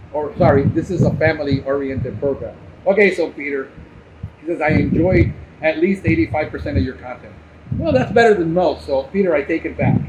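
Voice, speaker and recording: An American man hosting a podcast in a casual, unscripted way, with a blunt, combative manner. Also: a little high. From Cuban, grew up in New Jersey and Miami. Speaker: podcast host. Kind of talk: casual unscripted live commentary. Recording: muffled, loud background noise.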